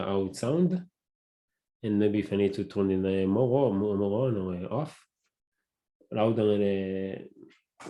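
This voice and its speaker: A man with a Hebrew accent, a native Hebrew speaker, hosting a casual solo podcast and talking haltingly, often searching for words and restarting. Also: on the low side, warm tone. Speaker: podcast host.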